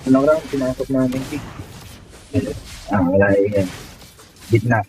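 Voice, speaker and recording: A man's voice: medium pitch, normal speed, darkish tone. A man with a Filipino accent chatting casually while playing a mobile game. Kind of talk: casual chat while gaming. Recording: background noise under voice chat.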